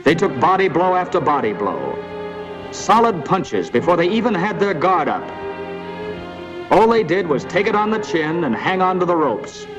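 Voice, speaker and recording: A man's voice: high-pitched, sing-song, moderate pace. An American man narrating a wartime documentary from a script in a dramatic, emphatic and solemn 1940s style. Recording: muffled 1940s film soundtrack, music behind.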